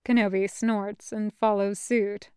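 A woman reading fan fiction aloud as an expressive storyteller. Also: mid-range voice, unhurried, smooth, no echo, clean studio sound. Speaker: fan fiction podcast reader.

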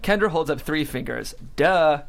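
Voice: medium pitch, moderate pace, gravelly. A narrator reading stage directions aloud at a comedic script table read.

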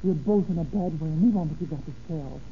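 A woman's voice: deep voice, fast talker. An actress playing a brassy, streetwise American woman of the underworld, slangy and brash, in 1930s radio drama style. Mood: coaxing, impatient. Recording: tinny, crackly 1930s radio recording.

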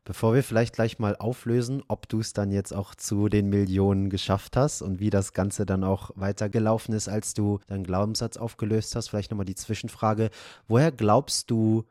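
A man's voice: on the low side, flat delivery, normal speed, mellow, no room sound, clean studio sound. A man hosting an interview, asking long, winding questions with a few fillers. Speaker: host.

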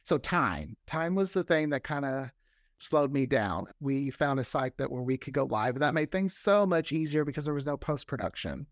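A man's voice: medium pitch, expressive, normal speed, no room sound, clean studio sound. A talkative man chatting casually as an interview guest, often repeating words for emphasis. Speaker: interview guest, fiction podcast creator.

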